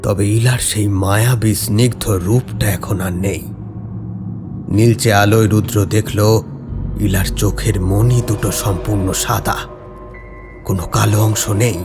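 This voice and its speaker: A man narrating a scripted horror story in a dramatic, suspenseful, deliberate way. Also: lowish voice, steady tone, music in the background.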